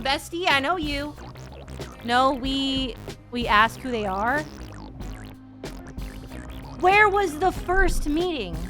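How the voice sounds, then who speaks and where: A woman streamer reacting to a game in rambling, animated, sweary casual chat. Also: high voice.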